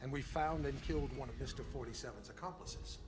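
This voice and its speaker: Actor playing a bitter, commanding intelligence chief. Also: male voice, mid-range voice, heavy background noise, natural voice. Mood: grave, explanatory. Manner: authoritative, deliberate, sardonic. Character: embittered older male intelligence chief, proud and irritable. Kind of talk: dramatic video game cutscene acting.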